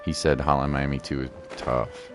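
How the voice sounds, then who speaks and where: Very deep, gravelly man commentating casually. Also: level tone, normal speed, music in the background. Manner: laid-back, musing aloud. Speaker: game streamer.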